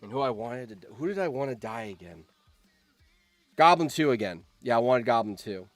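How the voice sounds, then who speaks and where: A man's voice: mid-range voice, normal speed, no room sound. Male streamer, casual commentary, exclamatory, repeats himself, dry close sound, music underneath. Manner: animated, exclamatory, repeats phrases. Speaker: streamer hosting a reaction game. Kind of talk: casual live-stream commentary, reading game events aloud.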